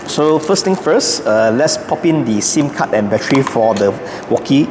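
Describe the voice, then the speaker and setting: A man's voice: mid-range voice, lively intonation, moderate pace. A man hosting a casual product guide, explaining things step by step. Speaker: YouTube host. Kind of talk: casual unboxing and setup guide. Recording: echoey room with long reverb, music behind. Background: clear background music.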